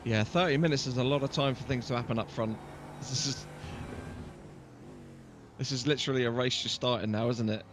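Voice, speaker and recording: Relaxed man on a noisy voice call. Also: medium pitch, brisk, long pauses. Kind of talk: casual chat on voice call.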